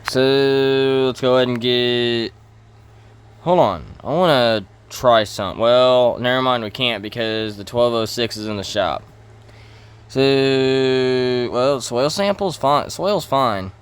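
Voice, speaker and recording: A man giving casual role-play gameplay commentary in a halting way, thinking aloud as he hosts. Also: mid-range voice, smooth. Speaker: gaming video host.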